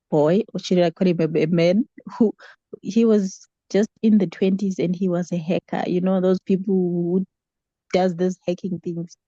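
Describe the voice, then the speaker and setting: A woman's voice: fairly low pitch, warm tone, no echo. South African woman storyteller, dry muffled sound. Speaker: book club member sharing a story. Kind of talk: casual personal storytelling.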